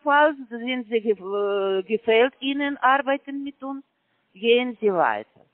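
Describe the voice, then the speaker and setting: A man who is not a native German speaker, making a sales pitch on a phone call in halting, broken German full of fillers. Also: animated, no room sound. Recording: phone line, dry.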